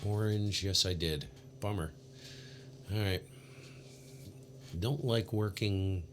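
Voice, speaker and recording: A man's voice: fairly low pitch, slow pace. A man live-streaming amateur radio, chatting casually and muttering to himself between call-outs. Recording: clear background radio noise.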